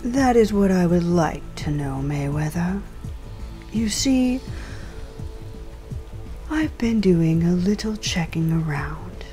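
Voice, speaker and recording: A woman playing a coolly polite, menacing crime boss in an audio drama, speaking in a deliberate, mockingly genteel way. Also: fairly low pitch, animated, dark tone. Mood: probing, controlled. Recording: music and rain under the voice.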